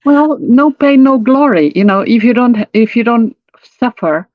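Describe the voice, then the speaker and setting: A woman in her sixties from Portugal, a retired teacher talking as a guest on a video call. She talks quickly, often stumbling and restarting. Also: a little high, expressive, no room sound, muffled recording. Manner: speedy talker, stumbles and restarts.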